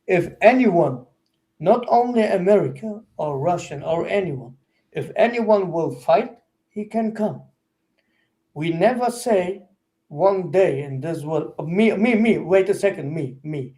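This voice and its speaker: A Turkish man with a fairly high, mellow voice speaks slowly in broken English. He halts and repeats words while looking for what to say. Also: lively intonation. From Turkey. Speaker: activist. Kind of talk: street debate.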